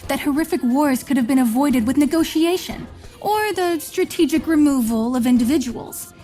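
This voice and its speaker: A woman playing a solemn, commanding visionary mentor in a fantasy game, speaking in a measured, portentous, rhetorical way. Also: high voice, lively intonation, warm tone, soft background music. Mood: solemn, persuasive. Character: solemn, commanding female visionary mentor recruiting chosen leaders. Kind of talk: scripted fantasy game voice lines.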